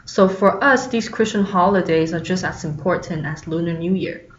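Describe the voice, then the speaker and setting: A woman's voice: lowish voice, normal speed, slight background noise. Young woman from China, muffled audio. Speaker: student interviewee. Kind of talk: scripted personal account.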